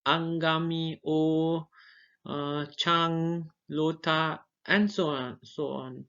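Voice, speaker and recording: A young man from Darjeeling, India, whose first language is Nepali, giving an informal educational presentation and listing items one by one, pausing to recall names. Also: a little high, fairly even intonation, bright, forceful tone, clear, resonant tone. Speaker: student presenter. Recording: dull lo-fi recording.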